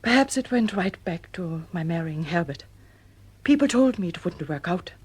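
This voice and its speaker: Breathy, remorseful woman. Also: on the low side, animated, moderate pace, a little mellow, no room sound. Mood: confessional, remorseful. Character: beautiful troubled wife, remorseful, self-reproaching. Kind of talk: audio drama acting, measured and melodramatic.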